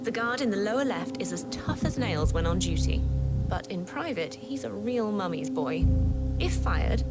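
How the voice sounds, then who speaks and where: Actress as self-assured female tech executive for a game: smug, measured, articulate, loud music underneath. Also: medium pitch, animated, close to an everyday voice. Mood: smug, persuasive. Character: confident, ambitious female tech chief pitching her invention. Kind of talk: scripted video game dialogue.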